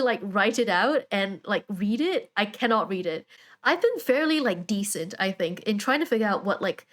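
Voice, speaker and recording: A woman's voice: medium pitch, a bit bright. A woman hosting a video essay, talking in an informal, conversational and wry way. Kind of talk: casual video essay commentary.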